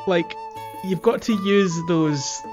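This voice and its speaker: A man's voice: sits high, takes it slowly. A man co-hosting a casual gaming podcast, chatting with wry, grumbling understatement. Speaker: podcast co-host. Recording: dull lo-fi mic, faint music. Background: faint background music.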